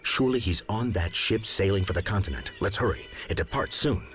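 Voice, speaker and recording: A man playing a composed, benevolent gentleman detective in a game cutscene, speaking in a refined, measured manner. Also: lowish voice, animated, soft background music. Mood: urgent. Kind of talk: video game cutscene acting.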